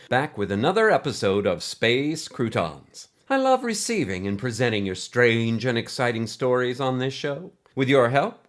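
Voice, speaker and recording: A man's voice: medium pitch, natural voice. A man playing an earnest, chatty host of a fringe space podcast, with a theatrical scripted radio-host delivery.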